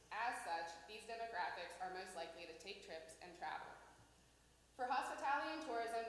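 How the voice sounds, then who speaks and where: A woman reading a prepared report to a meeting as a presenter for a tourism organization. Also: medium pitch. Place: city hall meeting room. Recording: very echoey hall, faint noise.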